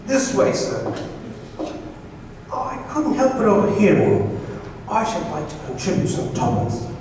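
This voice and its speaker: An actor playing a meek, eager, humble clerk in an old-time radio play, timid and deferential. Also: male voice, sits high, very animated, unhurried, darkish tone. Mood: eager, obliging. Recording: distant echoey hall, noticeable hiss.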